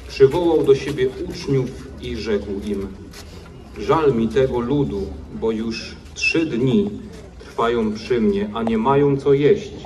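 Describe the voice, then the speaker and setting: Sex: man. Role: gospel reader. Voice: dark and mellow. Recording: distant, faint outdoor noise. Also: medium pitch, slow. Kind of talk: reading scripture aloud at an outdoor mass.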